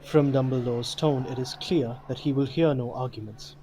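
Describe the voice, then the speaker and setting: A man's voice: mid-range voice, fairly even intonation, normal speed, dark tone, slight background noise. A man reading scripted narration for an audio drama.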